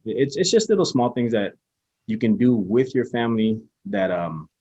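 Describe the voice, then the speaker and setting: A man chatting as a guest in a casual interview, speaking in a laid-back, halting way with lots of restarts. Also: mid-range voice, big pitch swings, mellow, slightly rough, dry recording. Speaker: interview guest, small business owner.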